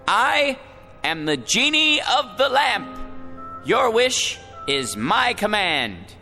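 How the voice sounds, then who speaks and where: A hapless, self-important little man in a radio drama, with a highish voice that swings widely. He is theatrical, pompous one moment and faltering the next, with music underneath. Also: big pitch swings, extremely slow, vocal fry, long pauses, pushed and bright, full character voice. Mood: grand, formal. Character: hapless, grandiose everyman dreaming of being president, then genie.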